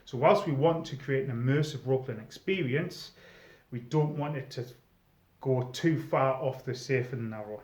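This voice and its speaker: A man's voice: mid-range voice, moderate pace, a little mellow. British man vlogging in an echoey room. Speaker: video host, experienced live role-player. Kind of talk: informal advice vlog talking to camera. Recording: roomy echo, slightly dull sound.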